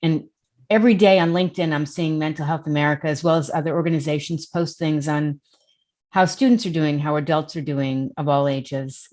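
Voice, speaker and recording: A woman's voice: low voice, steady tone, a little fast, darkish tone. A woman hosting an interview, asking questions and encouraging her guest with short replies. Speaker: interviewer, host. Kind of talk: interview conversation.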